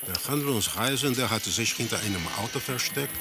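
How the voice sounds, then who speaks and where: A man playing an impassive narrator in a radio drama, reporting a surreal tale in deliberate, terse phrases. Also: medium pitch, expressive, over loud music, natural voice.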